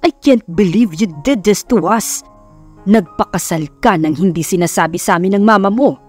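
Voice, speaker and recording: A woman's voice: medium pitch, normal speed, darkish tone, faint music behind, lightly acted. A woman voicing a stern, exasperated father in an audio romance story, serious and scolding.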